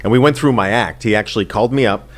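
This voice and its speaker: A man's voice: lowish voice, fast talker. A middle-aged American man chatting casually as an interview guest, telling anecdotes and acting out quotes with loose, swearing speech. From United States, Boston area. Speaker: stand-up comedian, interview guest.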